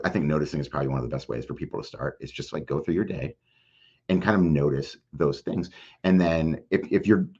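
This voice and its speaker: A man's voice: extremely low pitch, a little mellow. A middle-aged man giving conversational advice as an interview guest, explaining in plain, everyday words sprinkled with filler phrases. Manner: plain-spoken, explanatory, peppered with "like" and "you know". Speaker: podcast guest, mental health advocate. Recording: dull, lo-fi sound.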